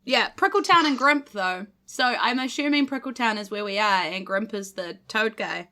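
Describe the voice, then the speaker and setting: A woman's voice: medium pitch, animated, moderate pace, sharp tone. A woman, chatting and bantering casually as a player on a podcast. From New Zealand.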